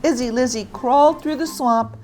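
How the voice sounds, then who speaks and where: A woman narrating a children's story aloud in an expressive, deliberate read-aloud style. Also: high voice, soft background music.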